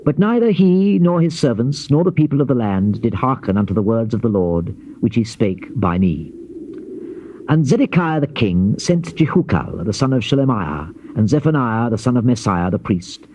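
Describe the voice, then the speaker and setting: An actor playing a grave Old Testament prophet in a dramatized scripture reading, with solemn, declamatory and measured phrasing. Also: male voice, mid-range voice, sing-song, warm tone, lightly acted. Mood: solemn narration. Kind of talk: dramatized scripture reading, classical stage delivery. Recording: dull lo-fi old cassette, faint hiss.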